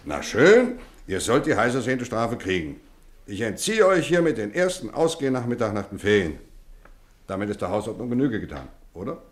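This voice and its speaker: A middle-aged man playing a kindly but strict boarding-school housemaster in a 1950s radio play, talking warmly with measured, gently teasing phrasing. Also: on the low side, sing-song. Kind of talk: 1950s radio drama acting. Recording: roomy studio echo.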